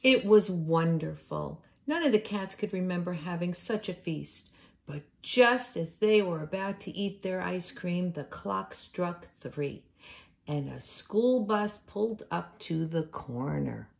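A middle-aged woman reads a picture book aloud to young children. Her pitch is on the low side with a lot of gravelly creak, and it moves up and down freely as she tells the story expressively. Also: animated, slow. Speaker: teacher reading aloud to children. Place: room at home, indoors.

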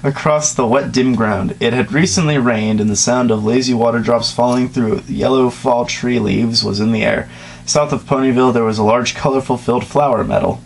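Young male co-host, mid-range pitch, reads casually and sarcastically with stumbles, slight background hum. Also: steady tone, normal speed, gravelly, poor audio. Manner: sarcastic, stumbling over words when reading. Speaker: co-host reading fan fiction aloud. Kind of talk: casual read-aloud with joking asides. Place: small bedroom, indoors at night.

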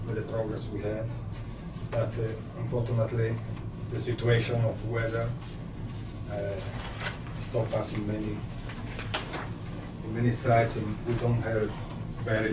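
An Italian man with an Italian accent giving a progress presentation as site manager in halting, repetitive non-native English. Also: on the low side. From Italy. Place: small indoor meeting room. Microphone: distant camera microphone. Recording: echoey noisy meeting room from far off.

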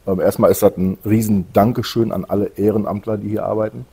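Husky, low-voiced middle-aged man. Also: little pitch movement, fast, dark tone. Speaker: guest, community project organiser. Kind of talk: casual interview chat. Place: indoor event room with collection displays.

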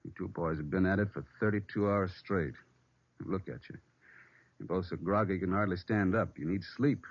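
A man's voice: sits low, moderate pace, dry recording. A man playing a firm, paternal police superior in an old-time radio drama. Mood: firm concern. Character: firm, concerned male police superior ordering his men home. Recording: muffled, dry vintage radio recording.

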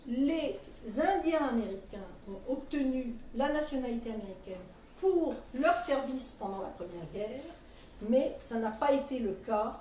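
A woman's voice: sits high, animated, unhurried, mellow, slight background noise. A middle-aged woman from France giving a conference talk, with many fillers and restarts, speaking from a panel table in a meeting room. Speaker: conference speaker for a centennial commission. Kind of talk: presentation at a teachers' training day. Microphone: table mic at a panel, filmed from the room. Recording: echoey room from far off.

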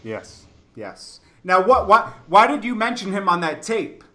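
A man's voice: high-pitched, sing-song, measured pace, fairly bright tone. A man hosting a casual, slangy hip-hop interview over a video call, talkative and emphatic, often repeating phrases. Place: indoor room, on a video call.

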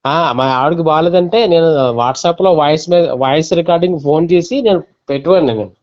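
A man with a Telugu accent speaks in a fairly high voice over a lo-fi phone recording. He narrates casually, going through things step by step. He often drops in quoted dialogue. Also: brisk. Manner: recounts step by step, quoting dialogue. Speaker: phone caller recounting being scammed. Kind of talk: casual phone conversation, storytelling. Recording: dull lo-fi recorded phone call.

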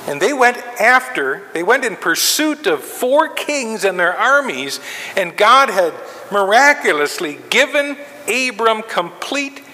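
A middle-aged man preaching a sermon from a pulpit, measured and emphatic with long pauses, in a large wood-paneled church sanctuary. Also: sits high, sharp tone. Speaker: pastor. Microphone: far camera, pulpit mic. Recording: reverberant church hall.

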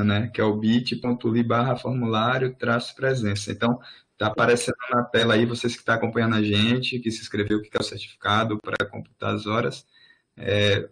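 A man's voice: mid-range voice, creaky, fairly bright tone. Casual Brazilian man moderating a webinar. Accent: Brazilian Portuguese. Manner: casual, conversational. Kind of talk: online lecture moderation. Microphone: video call.

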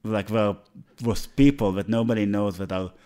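A man's voice: on the low side, fairly even intonation, warm tone, clear, resonant tone. Israeli-accented man in casual chat. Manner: joking, halting, repeats phrases. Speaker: podcast co-host, musician.

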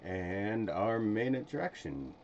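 A middle-aged man hosting a stream with casual, hesitant, halting commentary. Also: lowish voice, very animated, a little mellow. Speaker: streamer host. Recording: dull sound, faint background noise.

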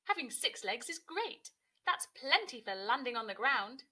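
An encouraging grasshopper from a picture book, voiced by a woman, bright and animated. It speaks emphatically, full of lively reassurance. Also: high-pitched, a little slow, slightly rough, heavily acted. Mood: reassuring.

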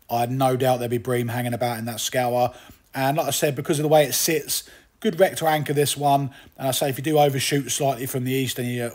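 Chatty English angler narrating footage. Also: male voice, mid-range voice. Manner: chatty, relaxed, with fillers and asides. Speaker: fishing channel host. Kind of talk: casual voice-over commentary.

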